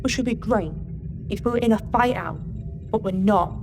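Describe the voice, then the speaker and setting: A woman's voice: mid-range voice, normal speed, creaky, over music, lightly acted. A woman playing a weary, blunt starship master chief in a sci-fi audio drama, with a dry delivery. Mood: grumbling.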